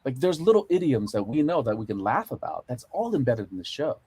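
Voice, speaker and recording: A man's voice: mid-range voice, sing-song, a little fast, darkish tone, husky. A middle-aged man with an American accent, speaking earnestly and emphatically in an unscripted panel discussion. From born in Korea, moved to America young. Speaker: panelist, TV series consulting producer.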